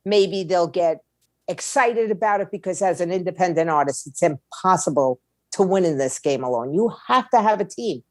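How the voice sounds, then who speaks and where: A woman's voice: lowish voice, lively intonation, moderate pace, dry recording, clean studio sound. Emphatic woman answering in an interview. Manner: emphatic, repeats points, asks rhetorical questions. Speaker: interview guest, music attorney and label owner.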